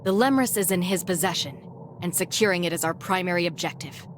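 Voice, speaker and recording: A woman's voice: on the low side, fairly quick, background noise, natural voice. A woman playing a determined, level-headed commando in a video game, speaking in a focused, matter-of-fact briefing tone. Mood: focused, serious. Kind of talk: video game cutscene acting.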